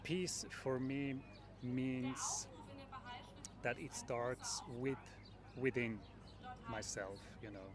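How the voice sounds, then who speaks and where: A man's voice: medium pitch, animated. Middle-aged man from Switzerland, reflective. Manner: reflective, halting with many fillers. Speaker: festival participant. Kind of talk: street interview. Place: outdoor festival square. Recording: crowd noise outdoors.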